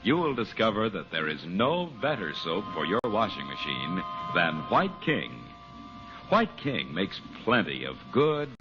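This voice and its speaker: Animated, low-voiced vintage radio announcer, male. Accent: American. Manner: polished, persuasive, emphatic. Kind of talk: 1930s-40s radio commercial reading. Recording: muffled old radio broadcast recording. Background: music.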